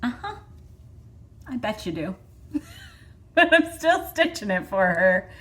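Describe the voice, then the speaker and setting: A woman's voice: a little high, very animated, takes it slowly, fairly bright tone. A woman in her thirties with a Michigan accent, hosting a vlog in chatty, rambling casual talk full of fillers. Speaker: vlog host. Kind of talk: casual chat.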